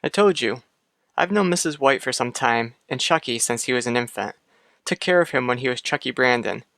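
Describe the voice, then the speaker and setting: An actor playing a brisk, guarded hospital doctor in a radio soap, with clipped, direct delivery. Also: male voice, mid-range voice, animated, fairly bright tone, no echo, clean studio sound. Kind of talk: old radio soap opera acting.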